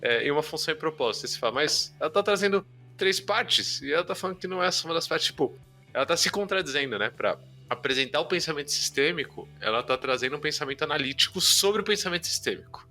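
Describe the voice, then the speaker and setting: Male Brazilian podcast host, very bright projected tone, casual and slangy, faint music bed. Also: a little high, expressive, fast. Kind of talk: casual chat. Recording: music behind the voice.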